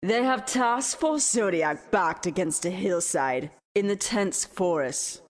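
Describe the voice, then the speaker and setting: Slow-spoken dark sorceress queen. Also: fairly low pitch, lively intonation. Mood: watchful reporting. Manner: formal, deferential, theatrical, measured. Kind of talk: fantasy audio drama acting.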